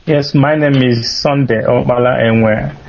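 A man's voice: mid-range voice, steady tone, unhurried, vocal fry. A man with an accent from southeastern Nigeria calling in to a radio show, speaking formally, hesitantly and deferentially. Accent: Nigerian Igbo-region. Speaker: phone-in caller. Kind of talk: formal greeting to a radio host. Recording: muffled internet call, faint noise, clicks.

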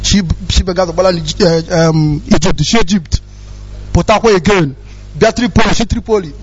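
A man's voice: sits high, fairly quick, breathy edge. A man giving an impassioned personal testimony, agitated and repeating himself from Nigeria's southeast. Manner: agitated, repetitive, often asks for understanding. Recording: dull lo-fi sound with music behind.

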